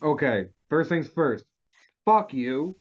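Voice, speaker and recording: An actor playing an exasperated, foul-mouthed crook in a comedic script read, whiny and indignant. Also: male voice, fairly high pitch, very animated, slow pace, full character voice. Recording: slightly dull, lo-fi audio.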